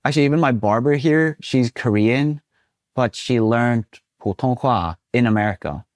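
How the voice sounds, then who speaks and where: A man's voice: medium pitch, normal speed, no room sound, studio quality. A young man from Sweden, a guest speaking casually in an interview with many fillers. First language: Swedish. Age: 20s. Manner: relaxed and chatty, many fillers like "you know".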